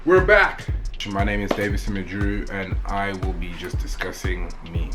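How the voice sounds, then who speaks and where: A man's voice: low voice, very animated, normal speed, music in the background. A young man with a South African accent, talking casually and rambling a little in a one-take vlog. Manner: chatty, rambling, loose and playful. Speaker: vlogger.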